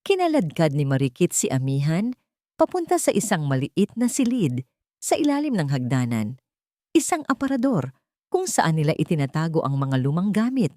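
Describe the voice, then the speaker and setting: A woman's voice: sits low, dark tone, dry recording, studio quality. A woman storyteller reading a scripted, dramatic story in a solemn, suspenseful manner. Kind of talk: dramatic scripted narration of a love and family story.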